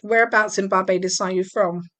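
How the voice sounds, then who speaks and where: A woman hosting an interview, asking measured, polite questions. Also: mid-range voice, fairly even intonation, a bit bright. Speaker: interview host.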